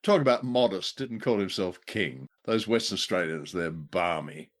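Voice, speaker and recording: A man's voice: low voice, lively intonation, moderate pace. A man co-hosting a radio show in casual chat, jokey and wry, with a rambling, hesitant delivery. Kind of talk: casual chat about old radio drama.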